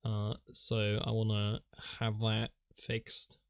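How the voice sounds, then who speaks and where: A man's voice: fairly low pitch, completely flat delivery, slow, gravelly, fairly bright tone, clean tone. A man whose first language is Polish, rambling and self-mocking as he thinks aloud while drawing on a live stream, chuckling often.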